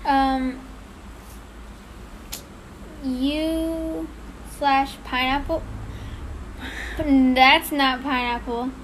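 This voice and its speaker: A woman with a high voice reads a story aloud in a loose, casual way, often stumbling, restarting and apologizing. A steady hiss of noise sits under the recording. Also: low-quality recording. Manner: halting, apologetic, laughs at her own slips. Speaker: podcast co-host reading a story.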